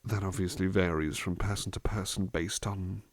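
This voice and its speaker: A man playing a gentle, reflective butler in a soft-spoken ASMR roleplay, musing slowly and thoughtfully, at times dropping into a near-whisper. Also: low-pitched, fairly even intonation, no room sound. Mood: thoughtful, reassuring. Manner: hesitant, thoughtful, musing, unhurried. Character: gentle, reflective male butler reading poetry to his charge.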